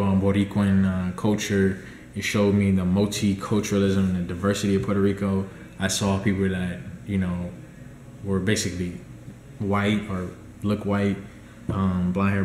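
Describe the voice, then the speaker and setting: A man's voice: sits low, roomy sound, a little hiss or hum. A young American man, a dietitian, giving a casual rambling vlog update from a small room at home, recorded close on a camera mic. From United States. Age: young adult. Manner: relaxed, rambling, many ums. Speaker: dietitian vlogger. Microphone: laptop or phone camera at close range.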